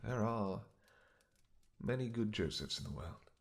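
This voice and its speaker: A man playing a weary, gentle vampire king who speaks softly and haltingly to his beloved in a romantic fantasy audio roleplay. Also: lowish voice, animated, creaky. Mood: earnest, rueful. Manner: soft, halting, gentle, intimate. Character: weary, tender vampire king, doting on his pregnant wife.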